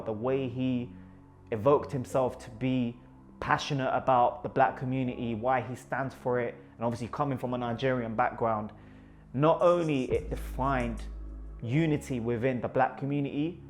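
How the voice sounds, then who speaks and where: A man from Iraq with a London accent, a photographer talking enthusiastically about his pictures and often repeating words for emphasis. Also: mid-range voice.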